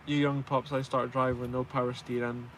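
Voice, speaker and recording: A man's voice: medium pitch, little pitch movement, a little fast, a bit bright, a little hiss or hum, low-quality recording. A man in his twenties with a Scottish accent, chatting casually and informally on a live stream and answering viewers with self-deprecating banter. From Scotland. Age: 20s. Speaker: truck driver and live stream host.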